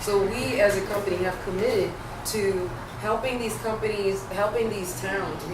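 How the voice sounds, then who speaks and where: A woman's voice: mid-range voice, moderate pace. Woman presenting from far in an echoey room. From Haiti. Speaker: company CEO presenting. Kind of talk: community meeting presentation. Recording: echoey room, distant camera, loud background noise.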